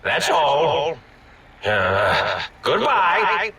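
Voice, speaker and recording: A scatterbrained old supervillain scientist in a game. He drawls very slowly and grandly, with a high, swooping voice. It booms as a distant, echoing transmission. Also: very animated, sharp tone, heavily acted. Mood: gloating. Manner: grandiose, halting, menacing drawl. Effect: echoing radio transmission. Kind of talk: theatrical villain broadcast, video game acting. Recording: echoey, distant broadcast transmission.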